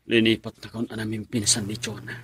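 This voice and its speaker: A man's voice: fairly low pitch, little pitch movement, a little fast, a bit bright, slightly rough. An actor playing a lovesick young man, a devoted son, in a melodramatic radio drama. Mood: hurt, jealous.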